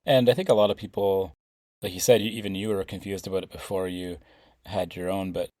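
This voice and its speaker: A man's voice: low-pitched, darkish tone, no room sound, clean studio sound. A man hosting a podcast, asking thoughtful, reflective interview questions. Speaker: podcast host.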